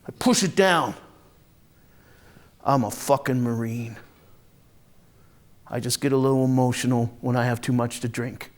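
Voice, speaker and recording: A man playing a volatile, hard-drinking, foul-mouthed Marine from a rough neighborhood, telling his story in a raw, halting dramatic monologue. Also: medium pitch, lightly acted. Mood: tense, brooding. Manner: raw, profane, halting then bursting into anger. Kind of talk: first-person dramatic monologue, conversational storytelling.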